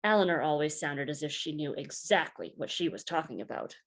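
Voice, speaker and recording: A woman reading a children's picture book aloud in an expressive, deliberate storytelling manner. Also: sits low. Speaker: storybook reader.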